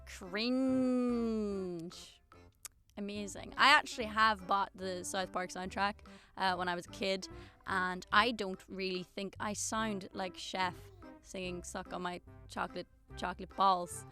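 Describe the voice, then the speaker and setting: A woman's voice: medium pitch, steady tone, slow pace, bright, forceful tone, faint music behind. A woman in her twenties casually reading out comments and answering them in a sarcastic, self-mocking way. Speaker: singer and YouTuber.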